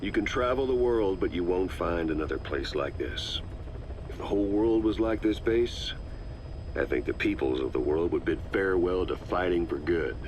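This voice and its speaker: An actor playing a gruff, wry mercenary soldier in a video game, with a dry, folksy manner. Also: male voice, low-pitched, lively intonation, normal speed, a little mellow, slight background noise. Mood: earnest. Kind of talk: scripted dramatic game dialogue.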